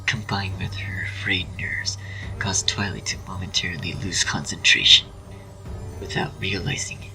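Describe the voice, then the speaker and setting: A man with a breathy voice reading a dramatic story as narrator. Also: sits low, rough recording.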